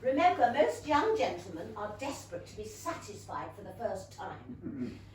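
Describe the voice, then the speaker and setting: A woman playing an excitable, scheming middle-aged mother in a comic period stage reading, gushing and fussy. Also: medium pitch, normal speed, slight background noise, natural voice. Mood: fussy, insistent. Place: small London theatre. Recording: echoey theatre, distant mic.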